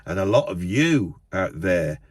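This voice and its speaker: A man ranting into a camera in his parked car, loud and emphatic, repeating himself, his nose a little blocked up. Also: low voice, sing-song, unhurried, breathy edge, no room sound. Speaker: YouTube channel host. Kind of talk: impassioned monologue to camera. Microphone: camera set up in the car.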